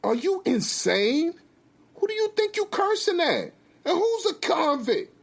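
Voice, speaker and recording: A man voicing an angry, fed-up wife and mother with a sharp tongue and a scolding, emphatic delivery. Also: mid-range voice, big pitch swings, measured pace. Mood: outraged.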